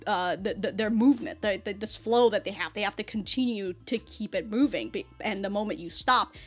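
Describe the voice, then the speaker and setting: A woman's voice: mid-range voice, a little fast, slightly rough, faint music behind. Rambling, sweary woman reacting to anime. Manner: sweary, stammering with repeated words; self-described exaggerated on-camera delivery. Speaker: YouTube reaction host.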